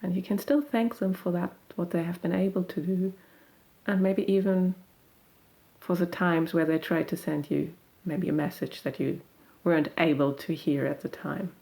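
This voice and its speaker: Woman, meditation guide, soft dark tone, creaky edges, gentle and unhurried. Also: lowish voice, steady tone, low-quality recording. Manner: gentle, unhurried, soothing instruction with long pauses.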